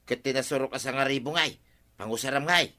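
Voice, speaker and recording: An actor playing a reproachful villager in an Ilocano radio comedy, needling the treasurer in an Ilocano accent. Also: male voice, medium pitch, quick pace, bright, forceful tone, lightly acted.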